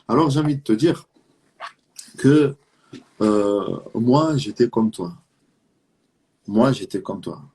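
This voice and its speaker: A man's voice: mid-range voice, lively intonation, takes it slowly, pauses often, dark tone, slightly rough. A man from Marseille with a southern French accent giving an earnest religious talk, urging the listener with rhetorical questions and repetition. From France (Marseille). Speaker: Islamic preacher.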